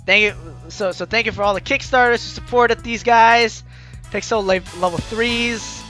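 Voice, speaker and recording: A gaming video host doing expressive, effusive, exclamatory let's play commentary over game music. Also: mid-range voice, normal speed. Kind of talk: let's play commentary, also reading on-screen text aloud.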